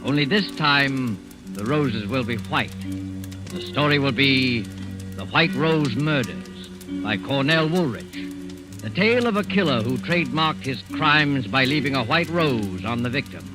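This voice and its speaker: Actor as suave, sinister mystery host. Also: male voice, mid-range voice, lively intonation, over music, close to an everyday voice. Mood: suavely ominous. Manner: measured, ominous, theatrical. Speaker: narrator and host. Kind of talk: 1940s radio announcing, scripted narration.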